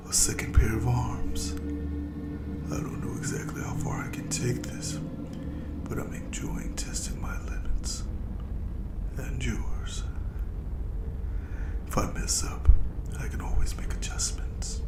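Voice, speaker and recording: A performer voicing a cruel, playful, ageless monster in a whispered audio roleplay, with a breathy, creaky voice and a slow, taunting, savoring delivery. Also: medium pitch, echoey room, distant mic. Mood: cruel amusement.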